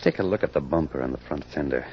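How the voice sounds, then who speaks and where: Wry amateur detective, 1940s radio drama, musing, conversational, muffled noisy vintage recording. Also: male voice, very deep voice, expressive, fast talker. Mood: probing. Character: wry, musing amateur sleuth narrating his own case. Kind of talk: 1940s radio mystery acting.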